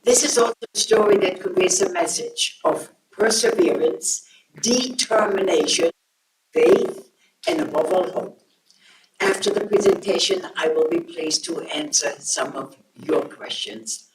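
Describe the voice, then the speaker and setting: An older woman from Germany, giving a measured, formal talk to students in a school hall, picked up by a distant room mic. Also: lowish voice. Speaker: guest speaker. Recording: echoey hall from far off, noisy.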